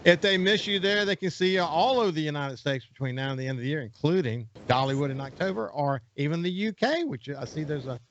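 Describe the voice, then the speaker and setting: Hoarse man hosting a radio chat. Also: on the high side, quick pace, few pauses, highs cut off, slight background noise. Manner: relaxed, rambling, self-correcting. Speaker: radio talk show host. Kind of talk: casual interview.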